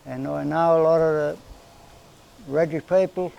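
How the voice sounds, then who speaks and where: A man in his seventies from northern New South Wales with an Australian accent, telling stories of his life in a relaxed, plain-spoken way during an interview. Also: fairly high pitch, unhurried, gravelly, highs cut off. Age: 70s. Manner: plain-spoken, rambling storyteller with everyday slang. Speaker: interviewee, community elder. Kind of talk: storytelling in casual conversation.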